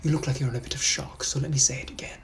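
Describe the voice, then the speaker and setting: A young man putting on a British accent to play a suave, menacing nineteenth-century gentleman, talking smoothly, often half-whispered, and calling everyone darling. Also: medium pitch, steady tone, rapid-fire, slight background noise, natural voice. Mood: haughty, commanding. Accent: British, put-on. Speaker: sketch creator. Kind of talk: POV comedy sketches.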